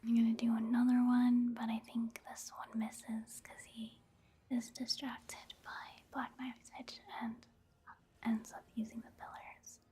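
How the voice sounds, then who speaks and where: A woman softly whispering casual ASMR gameplay commentary in a breathy, hushed, unhurried way. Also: fairly high pitch, completely flat delivery, a little hiss or hum.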